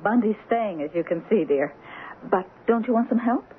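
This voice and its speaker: An actress playing an enthusiastic American wife and painter in a 1970s radio drama, with a gushing, eager manner. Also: female voice, mid-range voice, expressive, normal speed, lightly acted. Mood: bright, affectionate. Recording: noisy narrowband old radio broadcast.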